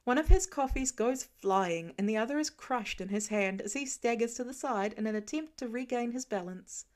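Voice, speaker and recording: A woman narrating a piece of fan fiction as an audiobook, in a steady, gentle storytelling manner. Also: a little high, moderate pace. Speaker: podfic reader.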